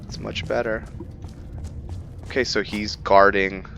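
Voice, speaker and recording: A man giving casual let's-play commentary, curious and thinking out loud, now and then dropping to a hushed whisper. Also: fairly low pitch, flat delivery, slow pace, gravelly, noisy background. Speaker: gameplay commentator.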